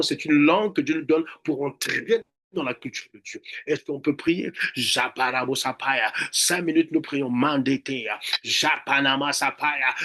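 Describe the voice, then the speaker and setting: A man's voice: a little high, sharp tone. A man preaching and leading prayer as a pastor would, emphatic and repetitive in his exhortation and chanted prayer. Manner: emphatic, repeats words and phrases.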